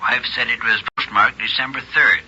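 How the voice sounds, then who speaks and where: A man's voice: medium pitch, steady tone, normal speed, sharp tone. An American actor playing a worried, cooperative middle-aged father, earnest and plain-spoken, in a 1950s radio drama. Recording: muffled, noisy old radio recording.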